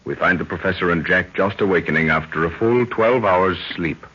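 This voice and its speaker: An actor playing a grave, ominous narrator, delivering florid scripted narration for an old radio adventure serial. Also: male voice, low-pitched, normal speed, a bit bright, slightly rough. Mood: grave, portentous. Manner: portentous, florid. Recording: muffled, faintly noisy 1930s radio recording.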